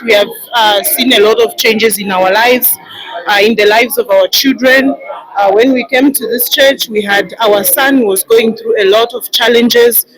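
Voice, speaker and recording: A woman with a Zambian accent shares an unscripted church testimony. Her voice is on the high side, and a crowd is clearly heard behind her. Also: poor audio. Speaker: church member giving a testimony. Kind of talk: spontaneous spoken testimony.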